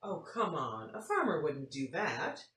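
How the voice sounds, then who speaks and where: A woman's voice: sits low, sing-song, unhurried, a bit bright, heavily acted. A woman voicing a curious, disbelieving mother who questions her child in a picture-book read-aloud. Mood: incredulous. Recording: roomy, faint noise, distant mic.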